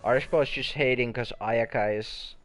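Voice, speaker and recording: A man's voice: medium pitch, steady tone, normal speed, faint music behind. A young man giving casual live gaming commentary, rambling along and swearing casually. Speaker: game streamer.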